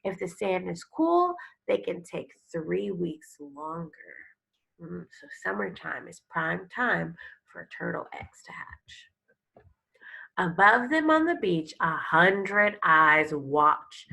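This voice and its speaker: Gravelly-voiced woman teacher reading aloud slowly. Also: fairly low pitch, rough recording. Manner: expressive, instructive, pauses to explain and prompt. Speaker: elementary school teacher. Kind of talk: picture book read-aloud with questions to young pupils.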